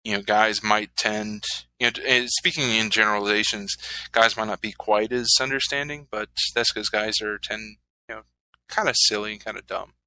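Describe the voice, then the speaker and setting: A man in his thirties hosting a casual podcast interview, relaxed and rambling with fillers. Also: lowish voice, normal speed, low-quality recording. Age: 30s. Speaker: podcast host.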